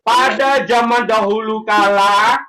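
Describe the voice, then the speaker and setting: A man telling a religious story to young children in a school, animated and sing-song, coaxing his audience to answer. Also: high voice, slow, music in the background. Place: school classroom.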